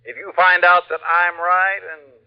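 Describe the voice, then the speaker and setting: An actor playing a breezy, defensive insurance agent who dodges questions, in a 1950s radio drama. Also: male voice, high-pitched, flat delivery, measured pace, smooth. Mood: evasive. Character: evasive, jovial male insurance agent. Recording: dull lo-fi 1950s radio recording.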